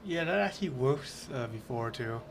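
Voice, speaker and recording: A man's voice: medium pitch, expressive, moderate pace, creaky, noisy background. Rambling male game streamer. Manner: rambling and loose, full of fillers. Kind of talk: casual gameplay commentary.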